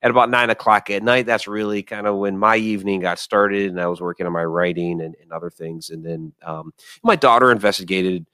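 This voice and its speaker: Easygoing man in his 50s, deep and dry. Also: steady tone, pushed and bright, clear, resonant tone, dry recording. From Ohio. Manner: easygoing, measured, stammers on starts. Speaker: guest. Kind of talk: casual interview.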